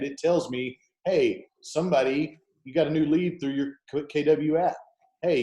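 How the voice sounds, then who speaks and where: A man's voice: medium pitch, warm tone. Upbeat Midwestern man in his forties. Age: 40s. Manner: upbeat, chatty, folksy. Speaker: real estate team leader hosting a video meeting. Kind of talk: casual software walkthrough on a video call. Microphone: video call. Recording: echoey room over a video call.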